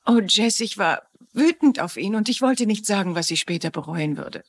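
An actress playing an anxious, loyal wife with an earnest, worried manner in a dubbed TV mystery. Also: female voice, mid-range voice, animated, moderate pace. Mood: regretful.

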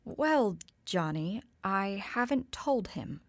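Genteel doting mother, unhurried, dry close sound, tender, audio drama. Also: medium pitch, animated, dry recording. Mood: secretive, hesitant. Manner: proper diction, gentle, slightly theatrical.

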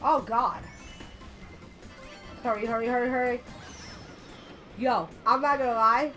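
Young woman, raspy, a little sick, high voice, chatty gamer, curses a lot, headset mic, faint music behind. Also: fairly even intonation, a little slow. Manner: chatty, swears often, loud outbursts at the game. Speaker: gaming channel host. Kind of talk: casual let's-play commentary.

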